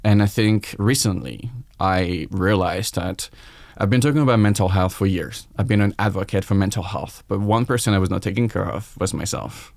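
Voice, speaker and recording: Low-voiced French man, reflective. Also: moderate pace, creaky, hardly any pauses, darkish tone, dry recording, clean studio sound. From France. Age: 40s. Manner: reflective, open, quoting his own thoughts aloud. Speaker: guest chef. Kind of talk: candid podcast conversation.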